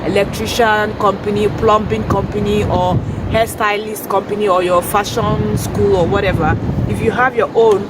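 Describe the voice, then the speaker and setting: A woman vlogging outdoors on a city street, hoarse from a sore throat, explaining things earnestly with fillers and restarts. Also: medium pitch, fairly even intonation, normal speed. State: hoarse, losing her voice. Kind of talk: casual informational vlog. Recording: noisy street recording, distant from mic. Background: traffic and urban ambience.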